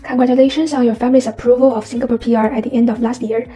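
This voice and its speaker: A woman's voice: fairly high pitch, fairly even intonation, racing, dark tone. A woman hosting an interview, reading a polished, upbeat script. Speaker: interview host. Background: faint background music.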